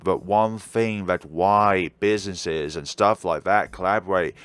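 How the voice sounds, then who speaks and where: A man's voice: low-pitched, a little slow, fairly bright tone, smooth, no echo. A man reading a visual novel aloud and giving blunt opinions. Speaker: gaming YouTuber.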